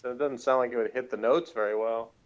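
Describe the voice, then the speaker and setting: A man's voice: medium pitch, steady tone, fairly quick, dull audio. A man chatting casually as a guest reviewer, slangy and joking, often hesitant in his phrasing.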